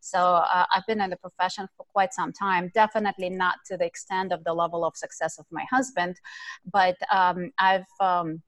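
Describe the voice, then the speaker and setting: A woman's voice: fairly low pitch, level tone, moderate pace, a bit bright, no room sound. A middle-aged woman from Ukraine, a podcast guest telling her life story in a chatty way with many fillers. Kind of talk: casual interview storytelling.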